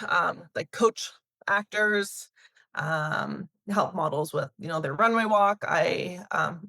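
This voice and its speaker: Woman, thirties, grew up in Canada, low-ish voice, dry close recording, casual and rambling. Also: fairly bright tone, dry recording. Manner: chatty, many fillers and restarts, laughs lightly. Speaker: talent agency CEO. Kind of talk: casual interview answers.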